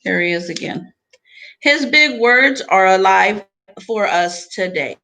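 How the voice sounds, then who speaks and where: Female teacher, medium pitch, read-aloud storytelling for pupils with explanatory asides and deliberate short phrases. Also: lively intonation, creaky, bright, forceful tone. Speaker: teacher reading a picture book aloud to students.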